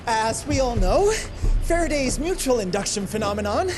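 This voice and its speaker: A woman's voice: a little high, expressive, normal speed, close to an everyday voice. Woman playing a scatterbrained rambling engineer. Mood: flustered. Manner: rambling, self-mocking, jargon-heavy. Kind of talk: game cutscene acting. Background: music score.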